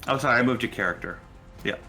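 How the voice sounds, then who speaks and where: A man narrating a tabletop role-playing game as game master, pausing hesitantly mid-sentence. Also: medium pitch, creaky, bright, forceful tone. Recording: faint background music.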